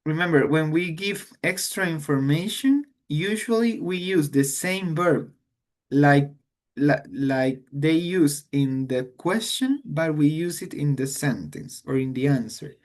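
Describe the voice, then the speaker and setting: Slow-paced male teacher. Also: fairly high pitch. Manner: slow, explains in English then repeats in Spanish. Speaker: English teacher. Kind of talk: online grammar lesson.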